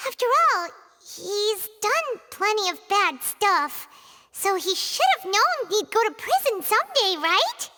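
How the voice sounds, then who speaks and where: A tiny fairy sidekick for a video game, extremely high and piercingly bright. The character is childlike, cheeky and blunt, and sounds in a long hall reverb. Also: female voice, takes it slowly, soft background music, full character voice. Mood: grudging. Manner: squeaky, blunt, excitable. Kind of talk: English game voice acting.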